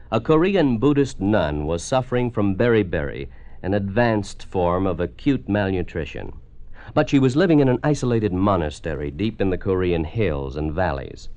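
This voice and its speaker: An American man reading a scripted 1950s radio announcement in a measured, solemn, oratorical manner. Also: on the low side, a little mellow, no room sound. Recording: dull old 1950s radio recording.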